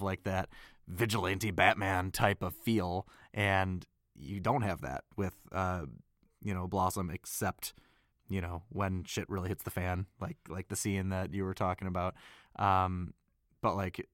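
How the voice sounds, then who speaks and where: Man, very low voice, dry close sound, casual rambling talk. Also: fairly bright tone, no room sound. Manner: loose and rambling, many "like" fillers. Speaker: voice actor. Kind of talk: casual behind-the-scenes chat.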